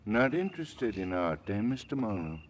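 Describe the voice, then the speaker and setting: A man playing a stiff, polite, quietly menacing chauffeur in 1940s radio drama, speaking formally and precisely. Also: fairly low pitch, very animated, normal speed. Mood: coolly threatening. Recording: noisy vintage radio recording.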